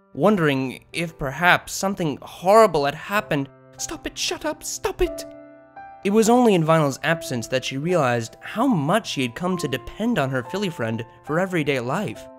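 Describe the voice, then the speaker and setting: A man with a fairly high voice narrates a story in scripted audiobook style. Faint music plays behind his reading. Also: lively intonation, moderate pace.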